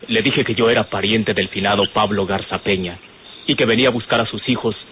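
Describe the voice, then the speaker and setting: A man's voice: on the low side, fairly even intonation, fairly quick, close to an everyday voice. An actor playing an earnest, respectful young man with a Mexican accent, explaining himself politely and carefully in an old radio drama. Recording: noisy, narrow-band old radio recording.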